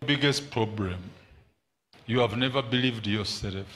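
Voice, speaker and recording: A man's voice: mid-range voice, a little slow, a bit bright. A middle-aged man preaching and praying commandingly in short repeated phrases to a congregation in a large hall. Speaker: preacher leading prayer and prophecy. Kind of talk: deliverance prayer and prophecy to congregation. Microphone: handheld microphone. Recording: noisy hall PA with ambient sound.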